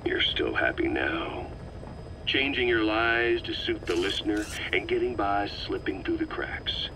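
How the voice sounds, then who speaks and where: A cold, menacing game interrogator with a very deep voice. He is deliberate, mocking and accusatory, swinging from pointed stresses down to breathy near-whispers. A clear vehicle rumble runs behind him. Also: animated. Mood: cold contempt. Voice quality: hushed, often dropping to a breathy near-whisper. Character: cold, sardonic, menacing male military interrogator. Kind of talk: dramatic video game voice acting.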